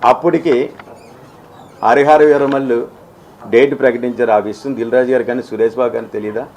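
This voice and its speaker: A man speaks off the cuff at a middle pitch, as if addressing the press. He argues his case emphatically, often halting and repeating phrases as he goes. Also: steady tone, a little mellow, a little hiss or hum, poor audio. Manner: halting, repetitive, emphatic, argumentative. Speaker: film exhibitor speaking on industry dispute. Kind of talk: spoken statement to the press, unscripted.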